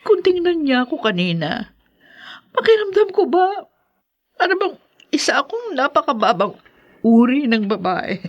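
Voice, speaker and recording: An actress playing a hurt, gentle woman about to marry a widower, in a radio drama. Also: sits high, big pitch swings, moderate pace, pauses often, muffled recording. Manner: soft, pained, hesitant. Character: hurt woman Alicia, gentle and anxious bride-to-be.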